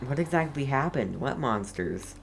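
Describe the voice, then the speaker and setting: A man giving casual game commentary in a halting, rambling way. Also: medium pitch, animated, vocal fry. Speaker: gamer playing through a story quest. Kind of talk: casual game commentary, reading dialogue aloud.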